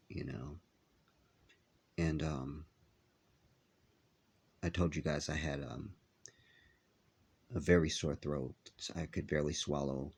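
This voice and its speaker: Slow, gravelly congested man. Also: rumbling low, a little mellow. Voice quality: nasal. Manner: halting, many filler pauses. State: stuffy, congested after illness. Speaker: vlogger. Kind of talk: casual vlog talk to viewers.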